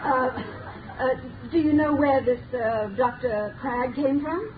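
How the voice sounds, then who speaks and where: A hissy, narrow-band old radio recording of a well-bred young lady. The high voice is courteous and hesitant, yet curious and determined. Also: takes it slowly. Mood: curious, polite. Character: polite, determined young society lady, inquisitive and concerned. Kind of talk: 1930s radio drama acting.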